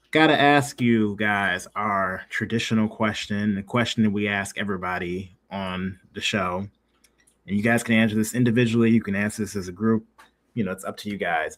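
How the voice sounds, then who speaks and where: Man as informal interview host, average-pitched voice, loose wordy delivery. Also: a bit bright. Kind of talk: casual interview chat.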